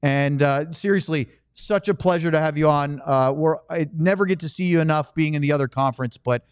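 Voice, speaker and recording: A man's voice: on the high side, a little fast, clear, resonant tone, dry recording, clean studio sound. A middle-aged man hosting a casual sports podcast, chatty and full of anecdotes. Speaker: podcast host and sports broadcaster.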